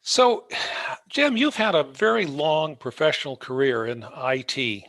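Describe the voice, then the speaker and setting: A man's voice: a little high, very animated, unhurried, fairly bright tone, no room sound. A man speaking as the host of an interview podcast. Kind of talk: scripted introduction, then conversational interviewing.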